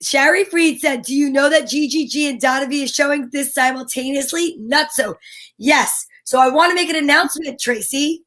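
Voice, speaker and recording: A woman's voice: high-pitched, normal speed, fairly bright tone, a little hiss or hum. A woman co-hosting a casual comedy live stream, chatty and exuberant as she banters and greets viewers.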